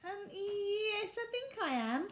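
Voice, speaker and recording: A woman's voice: extremely high pitch, sing-song, slow pace, echoey room, voice pushed up, heavily acted. A woman voicing an anxious, squeamish young girl in a children's story, dramatic and given to exclaiming. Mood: dismayed.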